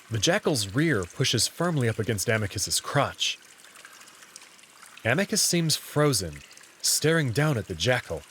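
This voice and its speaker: A man's voice: medium pitch, slight background noise. A man reading first-person story narration in the role of narrator.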